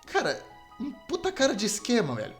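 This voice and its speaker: A man's voice: extremely high pitch, wildly swooping pitch, fairly quick. A young adult man with a Brazilian Portuguese accent rambles casually as a solo podcast host, slangy and self-deprecating. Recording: video game music behind the voice. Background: Super Nintendo game music.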